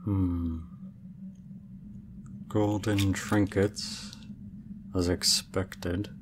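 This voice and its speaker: A man playing an aloof, regal dragon in human form for an ASMR roleplay, speaking in formal, measured, deadpan phrases. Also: sits low, noisy background. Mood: reserved, mildly puzzled. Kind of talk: ASMR roleplay audio drama.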